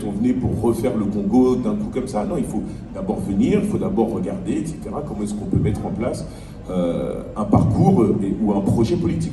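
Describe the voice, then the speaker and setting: Low-voiced man arguing earnestly at a panel. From France. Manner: earnest, argumentative, repeats himself while building a point. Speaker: panel speaker, French elected official. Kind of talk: panel discussion. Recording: roomy, distant, noisy ambience.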